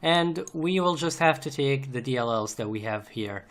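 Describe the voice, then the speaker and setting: A man's voice: mid-range voice, animated, normal speed, a bit bright. A man giving an unscripted screencast programming tutorial, explaining methodically step by step as he types. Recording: noisy, keyboard clicks and typing. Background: computer keyboard typing and clicks.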